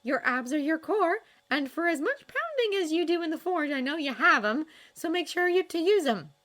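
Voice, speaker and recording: A woman's voice: extremely high pitch, very animated, normal speed, a bit bright, pitched above the performer's own voice, full character voice. A woman voicing a bossy, teasing young woman who coaches archery, in a fan fiction reading. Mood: instructive. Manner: teasing, instructive.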